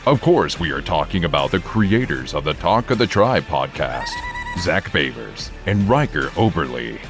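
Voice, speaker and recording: Announcer, man, very low and lively voice, playful scripted intro, faint music underneath. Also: normal speed. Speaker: show announcer.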